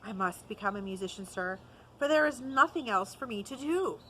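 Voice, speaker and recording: A woman's voice: medium pitch, lively intonation, moderate pace, pushed and bright, a little hiss or hum. A woman reading the part of an eager, earnest young boy composer in a storybook.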